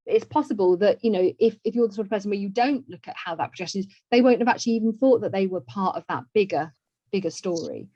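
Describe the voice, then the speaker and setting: A woman's voice: mid-range voice, animated, quick pace, mellow. A woman hosting and chatting in an online discussion, recorded over a video call. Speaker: webinar host. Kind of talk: conversational webinar discussion. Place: indoor room on a video call. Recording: muffled, echoey video call audio.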